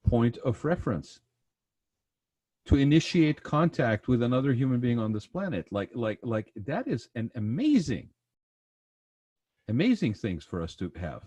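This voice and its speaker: A man's voice: medium pitch, sing-song, moderate pace, pauses often, a little mellow, no echo. A man from the Persian Gulf region hosting a podcast in casual conversation, rambling and repeating words, often tagging thoughts with "right?" and "you know". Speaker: podcast host.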